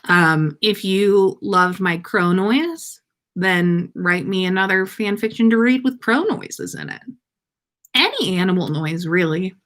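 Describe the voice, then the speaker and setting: A woman's voice: medium pitch, expressive, unhurried, fairly bright tone. A woman hosting a story livestream, reading aloud and chatting in a playful, informal way. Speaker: podcast host and story reader. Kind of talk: storytelling read-aloud and casual livestream chat.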